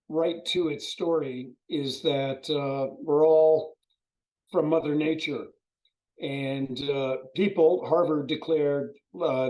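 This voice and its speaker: This is a hoarse man in his seventies, speaking over a video call. His voice sits a little high for a man, and his delivery is folksy and expansive. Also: darkish tone, rough recording. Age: 70s. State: hoarse from a cold. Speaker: guest speaker.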